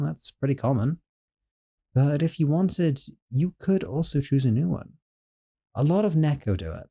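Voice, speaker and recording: A man playing a gentle, doting young sorcerer roommate in a scripted audio roleplay, talking softly and a little flustered. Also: a little high.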